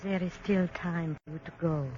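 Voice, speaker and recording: A woman's voice: fairly low pitch, fairly even intonation, moderate pace, mellow, close to an everyday voice. An actress playing a masked young French woman in a 1940s radio drama. She speaks with a French accent in sparse, grave and cryptic words. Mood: ominous. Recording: lo-fi 1940s radio broadcast with faint hiss.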